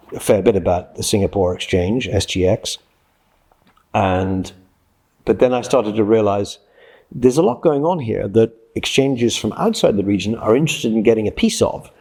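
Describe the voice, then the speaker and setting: Male interviewee, about sixty, from Scotland. Low, slightly rough-edged voice, thoughtful and explanatory, self-correcting as he goes. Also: darkish tone. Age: late 50s to early 60s. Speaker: interviewee, journalist and freelance writer. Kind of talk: reflective interview answers.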